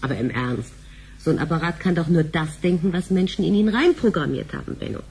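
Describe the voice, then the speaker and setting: A woman's voice: sits low, animated, fairly quick, darkish tone, breathy edge, exaggerated voice. An actress playing a sceptical, teasing mother in her thirties, in conversational radio play style.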